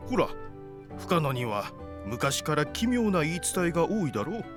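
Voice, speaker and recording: An actor playing a werewolf disguised as a security guard, cautioning in an ominous way in a visual novel. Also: male voice, fairly high pitch, big pitch swings, quick pace, music in the background. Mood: friendly warning. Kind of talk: Japanese visual novel acting.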